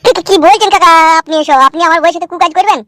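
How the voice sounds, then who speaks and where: A man's voice: very high voice, racing, a bit bright, no echo, clean studio sound. A man voicing a meek, fretful young village husband in a Bengali cartoon, pleading and deferential, with a rural West Bengal accent. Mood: upset, pleading. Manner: pleading, deferential, stammering when upset. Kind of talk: comic cartoon dialogue. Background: background music.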